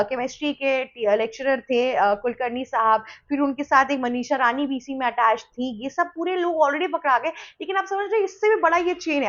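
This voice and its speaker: A woman's voice: high voice, fairly bright tone, lo-fi sound. An Indian woman explaining news as a presenter, conversational and emphatic, mixing in English words.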